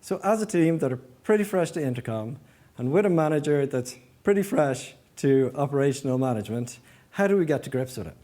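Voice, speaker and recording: A man's voice: mid-range voice, lively intonation, fairly quick, mellow. A man giving a prepared presentation as an engineering manager, explaining step by step and posing rhetorical questions. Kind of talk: prepared conference-style presentation.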